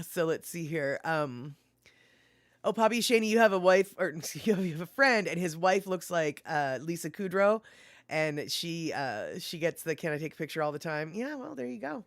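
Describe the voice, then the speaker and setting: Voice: medium pitch, a bit bright, no echo, studio quality. Chatty streamer reading chat aloud. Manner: chatty, laughing, muses aloud while reading comments; frequent fillers like "let's see here". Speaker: livestream host reading chat. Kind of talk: casual livestream chat.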